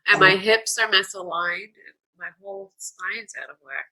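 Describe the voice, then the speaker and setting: A woman's voice: medium pitch, fairly even intonation, normal speed, bright, forceful tone, a little hiss or hum, low-quality recording. A woman guest in a casual chat, tearful and speaking hesitantly with many fillers.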